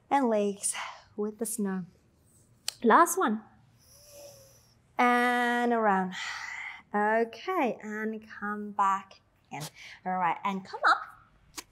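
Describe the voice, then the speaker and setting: A woman in her thirties with an Australian-tinged accent, guiding a Pilates class with slow, soothing cues and chatty asides. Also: medium pitch, a bit bright. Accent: Australian-tinged English. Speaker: Pilates instructor. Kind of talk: guided exercise instruction.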